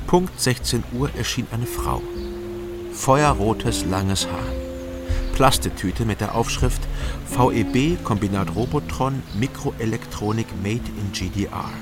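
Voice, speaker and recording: An actor playing a sober, watchful East German investigator in a radio crime drama, terse, measured and matter-of-fact. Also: male voice, fairly low pitch, over music, close to an everyday voice. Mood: detached, observant. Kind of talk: radio crime drama, dry first-person narration and dialogue.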